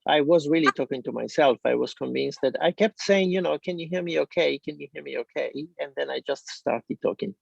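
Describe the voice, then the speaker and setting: A man with an Italian accent speaking on a video call, chatty and relaxed with many fillers. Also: a little high, brisk, gravelly, few pauses, dry recording. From Italy. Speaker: guest sharing business experience. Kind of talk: casual video call talk. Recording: dull lo-fi call audio.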